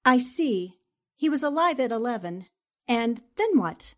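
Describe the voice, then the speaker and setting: High-voiced, determined newspaperwoman played by an actress. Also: very animated, measured pace. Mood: polite, probing. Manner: assertive, articulate, polite. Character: determined, principled newspaperwoman investigating a murder case. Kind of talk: 1940s radio drama acting.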